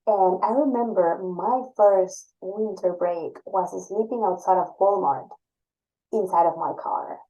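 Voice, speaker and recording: A woman's voice: mid-range voice, a little slow, mellow, muffled recording. A woman from Ecuador whose first language is Spanish, speaking earnestly as a podcast guest in English with small slips. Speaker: assistant director of graduate admission.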